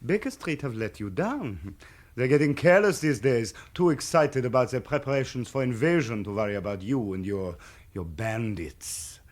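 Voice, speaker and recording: An actor playing a menacing, taunting German wartime officer who gives orders and interrogates, in a radio drama. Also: male voice, medium pitch, animated, moderate pace, close to an everyday voice. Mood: cold, taunting. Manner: taunting, commanding.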